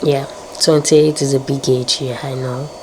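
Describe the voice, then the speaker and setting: A woman's voice: extremely low pitch, level tone, measured pace, background noise. A woman in her late twenties vlogging in a casual, upbeat way, repeating words and adding prayerful asides. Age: 20s. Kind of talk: casual faith-filled vlog talk.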